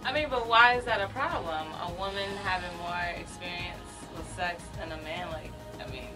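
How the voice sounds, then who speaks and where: A woman's voice: fairly low pitch, normal speed, rough recording. A young woman born in America, co-hosting a radio talk show and speaking candidly in a chatty way with lots of fillers. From United States. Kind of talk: casual talk radio discussion. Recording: music clearly behind the voice.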